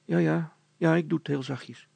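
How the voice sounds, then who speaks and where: Man doing an eager boy, lightly raised creaky voice. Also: on the high side, steady tone, moderate pace, a little mellow, dry recording, pitched above the performer's own voice, exaggerated voice. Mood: meek, reassuring. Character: obedient, apologetic young boy, the inventor's son.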